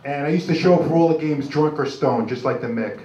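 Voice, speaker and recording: An older man with a New York accent does halting, self-deprecating, rambling stand-up comedy. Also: a little high, mellow, distant mic. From Brooklyn, New York, USA. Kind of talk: live stand-up comedy, crude jokes. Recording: dull, noisy, echoey club recording from afar.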